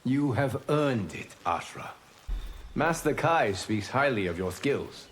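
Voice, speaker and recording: A man voicing a calm, solemn god in a video game, formal, measured and grave, speaking as a wise keeper of time. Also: medium pitch, slight background noise. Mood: stern, measured. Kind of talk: video game fight-intro dialogue.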